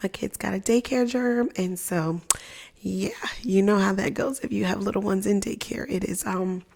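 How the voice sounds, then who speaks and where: A woman in her thirties whose voice is raspy and hoarse, with a gravelly edge. She chats in a casual, upbeat way on a live stream, repeating herself and filling gaps with fillers. She speaks softly into a close microphone. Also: mid-range voice, fairly even intonation, normal speed. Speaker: livestream host, pumping educator. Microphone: close mic, talking softly.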